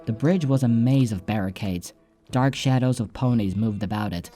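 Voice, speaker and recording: A man's voice: mid-range voice, moderate pace, mellow. A man reading a scripted first-person story as an audiobook narrator, with soundtrack music behind him.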